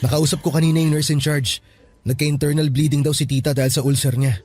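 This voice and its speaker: An actor playing a calm, reassuring young hospital worker in a Tagalog radio drama, steady and soothing, with a Filipino accent. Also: male voice, on the high side, fast, slightly rough. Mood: calm, serious. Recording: music behind the voice.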